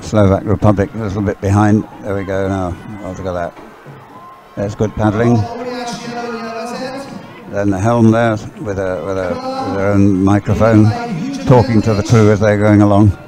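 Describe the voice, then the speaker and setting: A man giving loose, halting live race commentary outdoors, often rambling and thinking aloud. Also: fairly low pitch, little pitch movement. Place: outdoors beside a race course. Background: music, loudspeakers at a sports venue.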